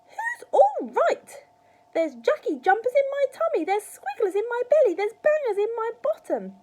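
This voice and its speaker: Shrill, tyrannical old grandmother. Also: wildly swooping pitch, moderate pace, heavily acted. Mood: panicked, outraged. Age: elderly. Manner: shrill, shouting, scolding. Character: cantankerous, tyrannical old grandmother, a shrieking hag. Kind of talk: children's storybook character voice.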